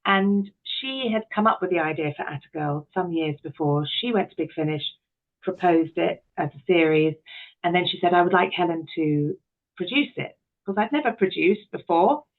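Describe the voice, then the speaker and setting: A woman's voice: fairly low pitch, moderate pace, narrow, tinny audio. A middle-aged woman, talking candidly and expressively as an interview guest. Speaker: interview guest, actress, writer and director.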